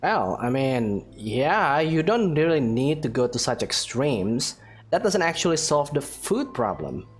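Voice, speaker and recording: A practical female warrior from an anime game. Her voice is very low but lively in pitch, dry and skeptical, with faint music underneath. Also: measured pace, exaggerated voice. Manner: dry, questioning.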